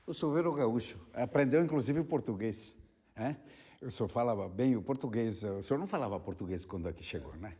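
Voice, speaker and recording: A man's voice: medium pitch, lively intonation, brisk, dark tone. A man with a Brazilian accent, putting conversational questions as an interviewer.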